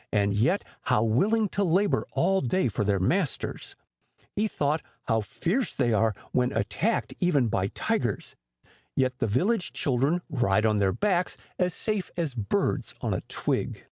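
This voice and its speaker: A man with a husky voice narrating a scripted story as an expressive audiobook storyteller. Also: on the high side, no echo, clean studio sound.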